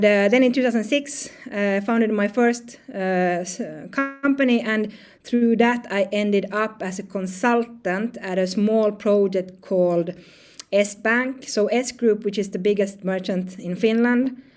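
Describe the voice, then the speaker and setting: A woman's voice: mid-range voice, darkish tone. Woman, forties, from northern Sweden, founder guest, loose talker who restarts her sentences often. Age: 40s. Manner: halting, many restarts and fillers, light laughs. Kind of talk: conversational interview answers.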